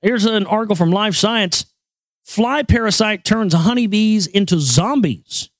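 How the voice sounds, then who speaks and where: Crude high-voiced male talk host. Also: measured pace, no echo. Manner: crude, jokey, rambling. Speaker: show host. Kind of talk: casual talk show monologue.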